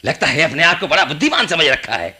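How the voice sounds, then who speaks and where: An actor playing a pious, indignant old priest in a radio play, scolding in an emphatic way. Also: male voice, fairly high pitch, big pitch swings, fast, pushed and bright, breathy edge, full character voice. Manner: scolding, emphatic, reverent about tradition. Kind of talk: Hindi radio play acting.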